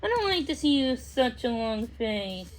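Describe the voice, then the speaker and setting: A woman's voice: fairly high pitch, very animated, normal speed, rough recording. A woman voicing a kindly mother in a storybook, cheerful, coaxing and teasing.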